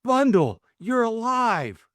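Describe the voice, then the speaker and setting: A man's voice: fairly high pitch, sing-song, slow pace, no room sound, studio quality, pitched above the performer's own voice. A man voicing a flustered, lovestruck young Englishman, stammering and eager. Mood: astonished.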